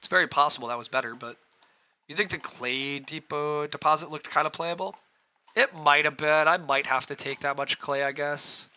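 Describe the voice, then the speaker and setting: A man with a hoarse, roughened voice talks through his moves on a live game stream. His tone is bright and edged, and he clears his throat now and then. He reasons aloud and answers viewers' comments. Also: on the high side, moderate pace. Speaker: streamer playing a board game.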